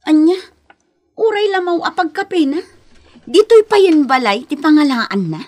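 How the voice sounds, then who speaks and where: A woman's voice: sits high, expressive, a little slow, a little mellow. An actress playing a put-upon wife and mother in a radio comedy drama, plaintive and grumbling about her household. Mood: exasperated.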